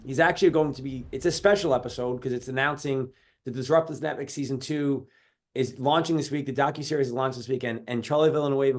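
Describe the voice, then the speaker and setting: A man in his forties from New York, hosting a podcast interview with chatty, rambling questions. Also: mid-range voice. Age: 40s. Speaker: podcast host. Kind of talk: casual interview.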